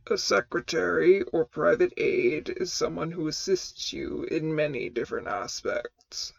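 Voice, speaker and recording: A woman voicing a stern, commanding male mentor in armor, with deep gravelly tones and formal, measured speech. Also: lively intonation, full character voice. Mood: measured explaining.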